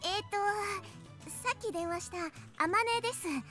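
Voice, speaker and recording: Very high-pitched perky anime girl. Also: a little slow, over loud music. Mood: polite, bashful. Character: perky young woman, friendly job seeker. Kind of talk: Japanese visual novel voice acting.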